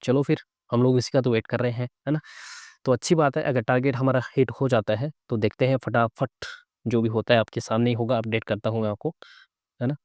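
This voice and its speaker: A man with a soft, mellow voice, recorded close and dry. His throat is a little sore. He teaches chart reading as a casual live commentary, talking conversationally and often tacking on little questions to check that listeners follow. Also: medium pitch, steady tone, brisk, no echo. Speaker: trading instructor and live-trade host.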